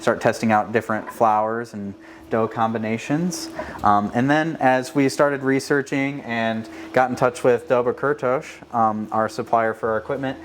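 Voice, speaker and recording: A man's voice: mid-range voice, fairly even intonation, moderate pace. A man with an American accent giving an informal, methodical walk-through of products as a food maker. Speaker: bakery worker demonstrating products. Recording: clear background ambience noise.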